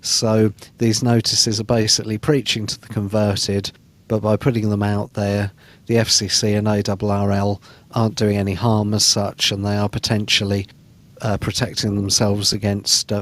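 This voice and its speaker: A man on a podcast panel, giving measured commentary in formal, precise wording. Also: fairly low pitch, a bit bright.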